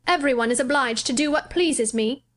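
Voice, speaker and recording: A woman's voice: high-pitched, normal speed, voice pushed up, lightly acted. A woman reading an audiobook, voicing a sickly, spoiled ten-year-old boy who talks in a demanding, coolly indifferent way. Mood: imperious. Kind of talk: audiobook character voice.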